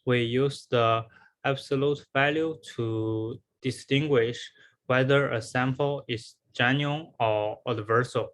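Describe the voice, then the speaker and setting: A man's voice: mid-range voice, steady tone, slow pace, a bit bright. Man giving a scripted academic presentation. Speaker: researcher presenting a conference paper.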